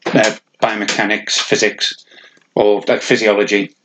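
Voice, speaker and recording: A man, a podcast co-host and coach, chatting in a relaxed, self-mocking way as he steers the talk. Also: on the low side, a little slow, noisy background. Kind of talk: casual chat.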